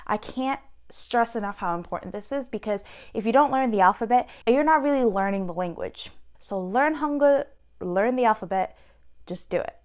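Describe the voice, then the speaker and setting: A young woman giving casual to-camera advice on learning a language, chatty and animated, playfully acting out her own reactions. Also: fairly high pitch, normal speed.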